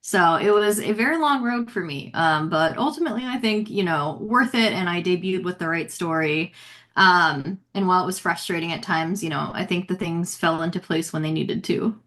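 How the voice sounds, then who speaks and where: A woman's voice: on the low side, moderate pace, fairly bright tone. An American woman speaking as an interview guest, reflecting casually with lots of "like" and "you know". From United States. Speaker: guest author.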